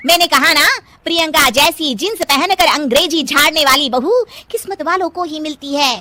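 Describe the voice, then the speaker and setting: A woman voicing a boastful, bossy middle-aged mother-in-law in a cartoon family drama, loud and exclaiming. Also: high-pitched, moderate pace, noisy background, natural voice. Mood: scolding. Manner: loud, scolding, gushing exclamations.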